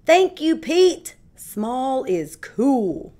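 A woman's voice: high-pitched, very animated, drawn-out words, mellow, full character voice. A woman reading a storybook as a hearty, grateful old male Santa cat who exclaims his lines. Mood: grateful, jubilant.

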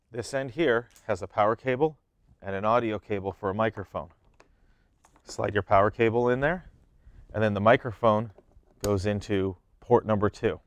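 A man's voice: on the low side, dry recording. A man in his thirties giving plain, step-by-step how-to instructions as an equipment demonstrator.

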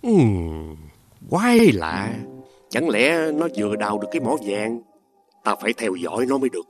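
A man playing a greedy, scheming rich old father in an animated fairy tale, pompous and drawling with hesitant filler sounds. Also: on the low side, normal speed. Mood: sly, suspicious. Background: background music.

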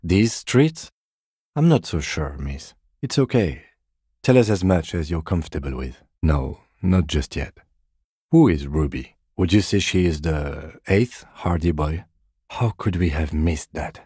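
A man voicing a composed, methodical police detective in a video game, with a measured, restrained, deadpan delivery. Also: a bass voice, breathy edge, no room sound, clean studio sound, full character voice. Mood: calm, probing. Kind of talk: scripted game dialogue.

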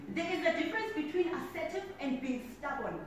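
A woman's voice: sits high, big pitch swings, a little fast. A South African woman in her twenties giving a motivational keynote, with emphatic, preacherly repetition, walking the floor as she speaks. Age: 20s. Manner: walks about the floor, gesturing as she speaks. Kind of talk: keynote speech to a conference room. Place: large conference hall, indoors. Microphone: handheld mic, heard from a distant camera. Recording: echoey hall audio from far off with background noise.

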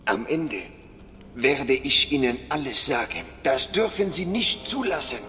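A man's voice: mid-range voice, very animated, a little slow, bright, forceful tone, slight background noise. A man playing a desperate, commanding Resistance leader in a dramatic video game cutscene. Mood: desperate, pleading. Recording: long cave reverb, music behind.

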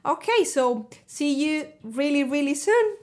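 High-voiced Spanish woman chatting to camera. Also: a little slow. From Spain. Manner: chatty, animated, many fillers and restarts, hand gestures. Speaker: vlogger. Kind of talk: casual talk to camera. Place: living room indoors.

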